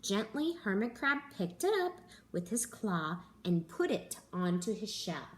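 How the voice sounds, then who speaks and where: A young woman, a teacher, reading a picture book aloud to children at home in a gentle, animated storytelling manner. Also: medium pitch, a little slow. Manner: gentle, animated storytelling with gasps and character voices. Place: living room at home.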